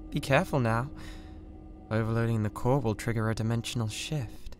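A British man playing a cold, condescending mad scientist with a god complex in an ASMR roleplay, speaking in a deliberate, theatrical way. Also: medium pitch, lightly acted. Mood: grandiose, controlled. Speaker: ASMR voice actor. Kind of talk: ASMR roleplay, scripted drama.

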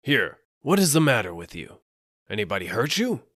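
A man reading aloud, voicing a rough, unsympathetic noodle peddler who questions curtly. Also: mid-range voice, sing-song, normal speed, a bit bright, no room sound, studio quality, pitched above the performer's own voice. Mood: gruff.

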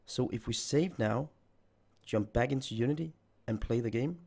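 A man's voice: mid-range voice, moderate pace, vocal fry, mellow, breathy edge. A man who is nearly losing his voice, talking through a coding tutorial while he types. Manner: thinks aloud while typing code. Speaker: programming tutor. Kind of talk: screen-recorded coding tutorial.

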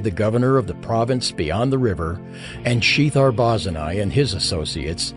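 A man narrating a scripted, dramatized scripture reading. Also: medium pitch. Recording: music bed behind the voice.

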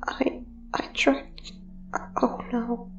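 A woman voicing a shy, anxious, apologetic ghost in a fan audio drama, soft and breathy, halting and stammering. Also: roomy sound, muffled recording, soft background music. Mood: scared, distressed. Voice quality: soft and breathy, often whispery.

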